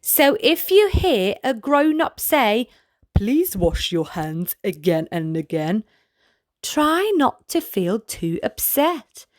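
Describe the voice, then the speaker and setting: A woman reading a children's picture book aloud as a storyteller, in a gentle, deliberate manner addressed to young listeners. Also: on the high side, very animated, no echo. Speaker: children's storybook reader, channel host. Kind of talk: read-aloud bedtime story narration for children.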